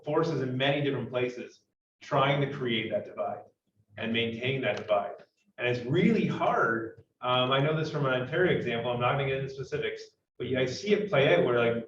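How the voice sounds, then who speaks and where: A man's voice: mid-range voice, recorded from a distance. A man from Canada gives a thoughtful comment in a conference room, with many fillers and restarts. He is picked up from across the room, so his voice sounds roomy and a bit dull, with faint noise behind it. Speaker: conference participant. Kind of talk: unscripted panel comment. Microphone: room microphone at a distance.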